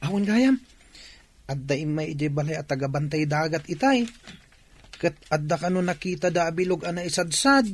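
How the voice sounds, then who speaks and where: A man's voice: fairly high pitch, expressive, normal speed, a little hiss or hum. A man playing an eager village neighbor bringing news in an Ilocano radio drama. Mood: hurried, newsy.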